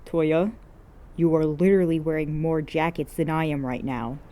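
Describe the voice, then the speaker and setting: A woman's voice: sits low, steady tone, moderate pace, dark tone, lightly acted. A woman voicing a grumpy, exasperated teenage boy in a story reading, curt and sarcastic. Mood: grumbling, annoyed. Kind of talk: acted dialogue in fan fiction reading.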